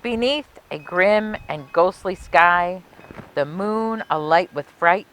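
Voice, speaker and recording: A woman reading a children's picture book aloud as a library story time host, with expressive, deliberate storytelling. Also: on the low side, a little hiss or hum, poor audio.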